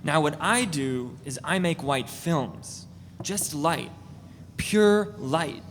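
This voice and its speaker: Man reading as a pretentious, pushy artist with a fairly high voice, recorded in an echoey room with faint hiss. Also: expressive, slow pace. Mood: pushy, eager. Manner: pretentious, pressing sales pitch. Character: pushy self-promoting male artist. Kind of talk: dramatic reading of a novel.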